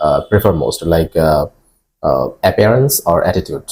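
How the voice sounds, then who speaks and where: A man's voice: sits low, very animated, normal speed, darkish tone, slight background noise. A man with a Bangladeshi accent running a mock cabin crew job interview, asking blunt questions in broken English and slipping into Bengali.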